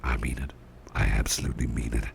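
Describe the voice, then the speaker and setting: A man playing a caring, gentle boss in an ASMR roleplay, murmuring tender reassurance in breathy whispers with a gravelly rumble. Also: fairly even intonation, quick pace, full character voice. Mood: affectionate. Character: caring, gentle male boss soothing a cursed employee.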